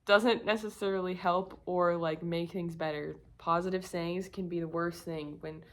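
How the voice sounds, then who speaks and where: Young woman vlogger, croaky with a cold, low-set voice, rambling and candid, loose filler-heavy talk. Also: fairly even intonation, normal speed. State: croaky, slightly sick voice. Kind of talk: casual confessional talk to camera.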